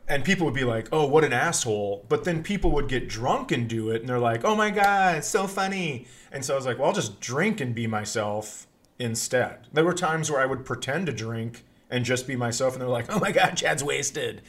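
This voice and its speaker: Joking man in casual chat. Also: medium pitch. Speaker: podcast co-host and comedian.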